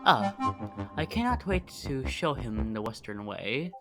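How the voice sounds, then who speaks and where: A performer voicing an earnest talking steam engine in an amateur dramatic reading, with expressive delivery. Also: medium pitch, slow pace, over loud music. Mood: eager.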